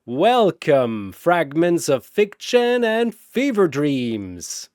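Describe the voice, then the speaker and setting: A man voicing an ancient, gleeful cosmic arena master in a storytelling piece, with grandiose announcing and drawn-out names. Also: on the high side, very animated, darkish tone, no echo, studio quality, pitched above the performer's own voice, heavily acted. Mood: grandly amused.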